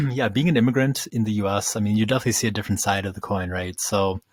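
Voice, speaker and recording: Podcast guest, late-twenties man, low voice, loose and rambling, dry studio sound. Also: fast talker, husky, no echo. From Ukraine. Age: 20s. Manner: rambling, many fillers and restarts. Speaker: podcast guest, community founder. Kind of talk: casual interview talk.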